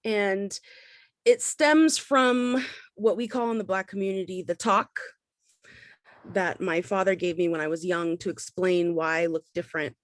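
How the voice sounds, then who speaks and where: A woman's voice: medium pitch, a little slow, dry recording. Woman from the Bahamas, dry close sound. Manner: explanatory, conversational. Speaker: artist and professor giving a talk. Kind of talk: informal artist talk with slides.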